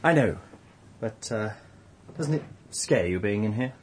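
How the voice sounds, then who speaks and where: Nervous, stammering man, faint rumble. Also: animated, measured pace. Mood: flustered. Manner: hesitant, stammering. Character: Lawrence, nervous, moralising conscientious objector tutor. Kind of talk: radio drama acting.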